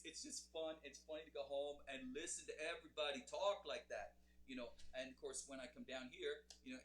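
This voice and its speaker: A man's voice: fairly high pitch, a bit bright. A middle-aged man from Canada's Ottawa Valley with a Canadian accent, chatting casually and jokingly as host of a live music show. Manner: jokey, chatty, addressing viewers as guys. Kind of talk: casual live-stream chat.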